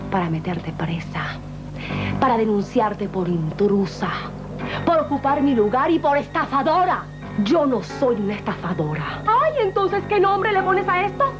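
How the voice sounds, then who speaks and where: An actress playing a haughty, combative woman in a 1980s telenovela. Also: fairly high pitch. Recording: old TV drama with music behind.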